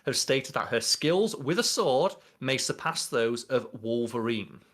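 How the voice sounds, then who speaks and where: A young man with a mid-pitched voice co-hosts a podcast from a small room. He moves between reading aloud and casual, sweary commentary, keeping it informal throughout. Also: expressive, a little slow, fairly bright tone. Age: young adult. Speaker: podcast co-host. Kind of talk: casual podcast chat, reading out wiki entries. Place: small bedroom.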